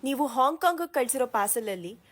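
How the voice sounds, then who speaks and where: A woman's voice: high voice, animated, quick pace. A woman playing a brusque, indifferent fake courier company caller in an awareness skit. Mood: businesslike.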